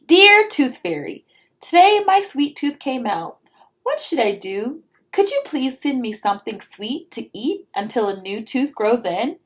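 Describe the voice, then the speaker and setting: Woman voicing a dramatic, high-pitched little girl. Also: sing-song, a little slow, fairly bright tone, exaggerated voice. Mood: earnest letter-writing. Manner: exclamatory, whiny, dramatic. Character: dramatic, demanding little girl with a sweet tooth.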